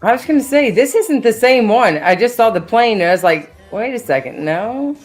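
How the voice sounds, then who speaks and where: A woman's voice: fairly high pitch, animated, normal speed, faint music behind. A woman co-hosting a live-stream trivia night, chatting and reading quiz options aloud. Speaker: stream co-host.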